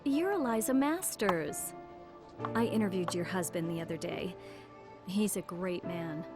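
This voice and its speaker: A woman playing a friendly, casual interviewer in an animated film, with an informal, inviting manner. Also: fairly low pitch, a little slow, over music. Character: friendly, forthcoming female interviewer, casual and sociable. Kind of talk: animation dub acting.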